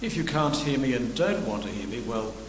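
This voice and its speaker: An older man preaching a sermon with a quiet voice and dry humour. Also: mid-range voice, expressive, brisk. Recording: long hall reverb, faint room noise.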